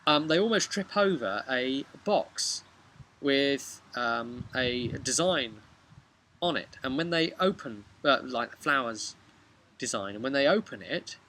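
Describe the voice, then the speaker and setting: Bright-voiced British man recapping a game. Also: medium pitch, animated, takes it slowly. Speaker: game master recapping a session. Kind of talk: casual storytelling recap of a tabletop role-playing game. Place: outdoors, on a bench by a house. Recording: outdoor webcam with background noise.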